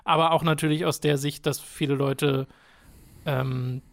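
A young man talks in a relaxed, casual way as a podcast co-host. His voice sits a little high for a man. He explains at length and often pauses on filler sounds. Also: creaky. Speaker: podcast co-host reviewing games. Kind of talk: casual chat.

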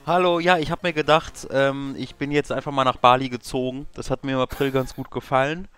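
A man's voice: mid-range voice, steady tone, a little fast, a bit bright, smooth, faint music behind. A young man with a cold, chatting on a podcast from a remote voice call, rambling and self-deprecating with many filler sounds. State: has a cold. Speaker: podcast co-host. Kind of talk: casual podcast chat. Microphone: remote over voice chat.

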